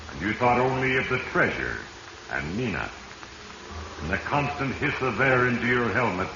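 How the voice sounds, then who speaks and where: An actor playing Fate, a grave all-knowing narrator passing solemn, deliberate judgement in a 1940s radio mystery. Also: male voice, mid-range voice, lightly acted. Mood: grave, accusing. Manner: solemn, deliberate, ominous pronouncements. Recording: muffled, echoey, noisy 1940s radio recording.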